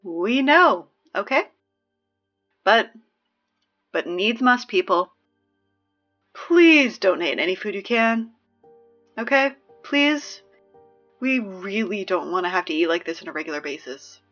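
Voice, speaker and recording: A young woman playing a wry, peppy teenage girl who reads high school announcements in a chatty, sardonic, emphatic way for a scripted audio drama. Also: lively intonation, slow, pauses often, fairly bright tone, highs cut off, soft background music. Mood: pleading.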